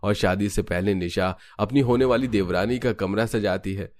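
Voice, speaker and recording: A man's voice: on the low side, moderate pace, a little mellow, no room sound. A man reading scripted narration for a family drama cartoon story.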